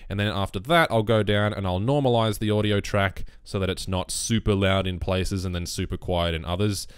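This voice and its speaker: A young man with a bassy voice explaining his recording setup in a relaxed, rambling way, close on a USB condenser mic on a boom arm. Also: brisk, clean tone, no echo. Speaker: YouTube gaming creator. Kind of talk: casual screen-recorded tutorial.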